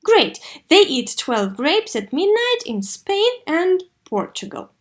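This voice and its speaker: A woman's voice: extremely high pitch, slow. A woman teaching an English video lesson to children, asking questions and modelling sentences expressively. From Russia. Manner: didactic, expressive model reading with clear questions. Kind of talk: video lesson for third graders.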